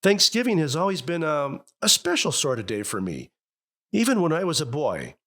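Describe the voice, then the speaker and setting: Animated, high-voiced family father. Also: normal speed, exaggerated voice. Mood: nostalgic. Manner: blustering, hesitant when sincere. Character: exasperated, sentimental family father, middle-aged husband. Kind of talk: old-time radio family comedy-drama acting.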